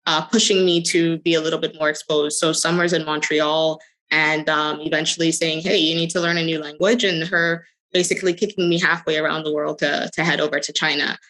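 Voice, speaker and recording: A middle-aged woman answering interview questions conversationally, telling her story in short phrases with hesitant pauses. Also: sits low, little pitch movement, a bit bright. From the Bahamas. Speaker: interview guest, crypto company executive.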